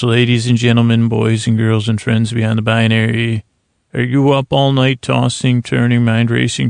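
An American man with a creaky voice hosting a sleep podcast, rambling soothingly and haltingly, with mumbly tangents that trail off. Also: mid-range voice, dry recording, clean studio sound. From Syracuse, New York, United States. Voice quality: creaky, gravelly. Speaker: host of a bedtime sleep podcast. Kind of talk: rambling, soothing bedtime monologue.

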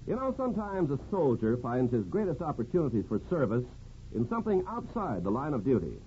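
Animated, earnest male announcer, old radio. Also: medium pitch, fairly quick. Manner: earnest, solemn. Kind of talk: 1950s scripted radio narration. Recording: dull old radio with hiss.

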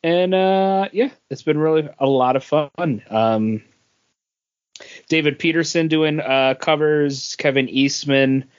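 A man's voice: on the high side, slow pace, clear, resonant tone, no room sound. A man talking casually and conversationally as a co-host on a podcast. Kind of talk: casual chat. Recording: dry, dull lo-fi audio.

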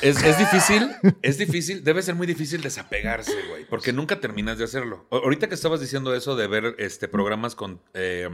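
A man's voice: medium pitch, fairly quick, few pauses, fairly bright tone. A man from Mexico with a Mexican accent, chatting casually and teasingly as a podcast host. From Tampico, Mexico. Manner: joking, teasing. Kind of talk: casual chat.

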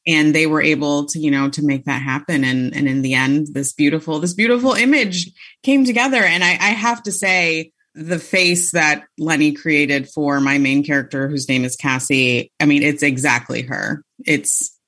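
Low-voiced chatty woman from Chicago. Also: normal speed, a bit bright. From Chicago area. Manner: chatty, laughs often, many restarts. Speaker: author guest. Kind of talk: casual interview chat.

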